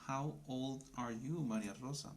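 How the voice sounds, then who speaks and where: A man in his thirties from Colombia, teaching a live online English class for beginners, speaking simply and greeting viewers by name. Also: medium pitch. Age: 30s. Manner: slow, simple speech for beginners.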